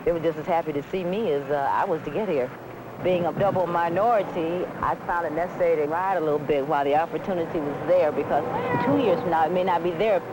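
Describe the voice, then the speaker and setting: A woman's voice: fairly low pitch, quick pace. A woman working as a trainee train engineer, speaking in a documentary interview. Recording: very loud engine noise, 1970s film sound.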